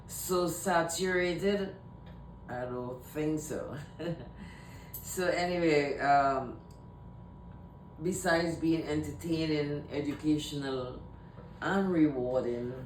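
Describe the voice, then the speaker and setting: A woman's voice: slow, pauses often. A woman from Trinidad and Tobago with a Trinidadian accent, talking casually to camera in a rambling way and often repeating herself. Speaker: vlogger. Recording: echoey room, mic at a distance, faint rumble.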